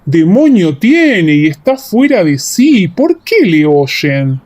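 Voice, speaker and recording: A woman voicing indignant, accusing Judean elders in a dramatized audiobook, with emphatic, drawn-out phrasing and an echo. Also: on the low side, sing-song, a little mellow, pitched below the performer's own voice, exaggerated voice. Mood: scornful.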